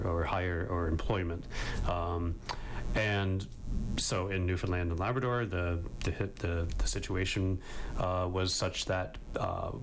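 Very deep-voiced man explaining carefully. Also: a little hiss or hum. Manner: careful, thinks aloud while explaining. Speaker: linguistics researcher, guest. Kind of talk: explanatory interview talk.

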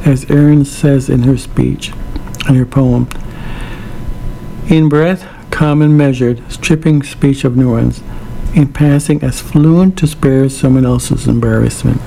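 A man's voice: medium pitch, fairly even intonation, takes it slowly, vocal fry, warm tone, slight background noise, rough recording. A middle-aged man hosting a weekly program, speaking in a reflective monologue with a stutter of repetitions and blocks.

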